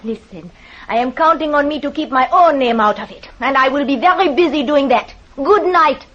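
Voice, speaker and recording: A woman's voice: sits high, normal speed, a bit bright. An actress playing a haughty, fiery French woman in vintage radio drama, with a French accent and imperious, formal phrasing. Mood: cold, dismissive. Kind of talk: 1940s-50s radio drama acting. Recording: dull vintage radio recording.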